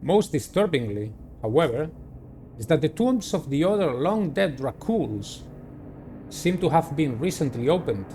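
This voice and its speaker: Male game narrator, somewhat high and highly expressive, dramatic reader with asides, faintly noisy recording. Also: a little slow. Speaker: gaming video host. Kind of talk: reading visual novel narration aloud with personal commentary.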